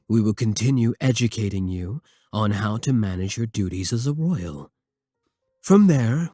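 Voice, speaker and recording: A devoted vampire king, acted by a man, low and husky, speaks with formal courtesy and intimate tenderness. His delivery is slow and measured, recorded dry and close for romantic ASMR roleplay. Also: warm tone, no room sound, exaggerated voice. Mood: tender, reassuring.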